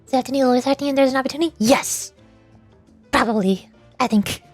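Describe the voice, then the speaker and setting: A woman with a high, light voice chats to her stream through a desk mic at home. She rambles and pokes fun at herself. Soft game music sits faintly underneath. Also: quick pace. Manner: chatty, rambling, self-deprecating. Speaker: VTuber streamer. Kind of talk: casual stream chat while voice acting over a game. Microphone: USB desktop microphone.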